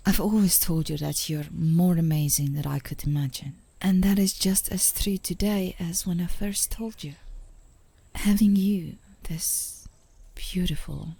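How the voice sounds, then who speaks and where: A woman playing a tender, playful, adoring girlfriend in an intimate ASMR romantic roleplay, speaking softly and gently, often in a whisper. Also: on the low side, no room sound, heavily acted. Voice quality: soft, breathy, often whispering. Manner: gentle, affectionate, unhurried, giggly. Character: tender, playful, adoring girlfriend comforting her partner outdoors.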